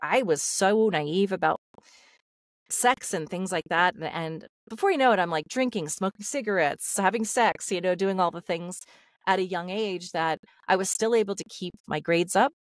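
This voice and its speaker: A woman's voice: lowish voice, moderate pace, no room sound. A middle-aged woman, a voice actor, telling her life story candidly on a video call, with many fillers and restarts. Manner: open, confessional, lots of fillers and restarts. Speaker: guest, voice actor. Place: home room, video call. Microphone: studio mic on stand.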